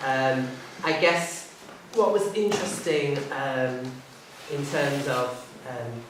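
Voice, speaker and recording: A man chairing a panel discussion, hesitant, with many pauses and restarts. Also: mid-range voice, background noise. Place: theatre hall. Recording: echoey hall from far off.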